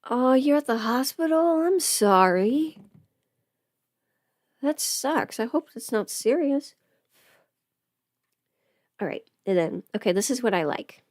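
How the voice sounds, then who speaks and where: A woman's voice: a little high, lively intonation, slow, pauses often, a little mellow, no room sound, clean studio sound. A woman chatting casually on a livestream while under the weather, thinking aloud as she does her makeup. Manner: chatty, rambling, thinking aloud, sometimes playful. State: sick with a cold or illness. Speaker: livestream host and audio performer.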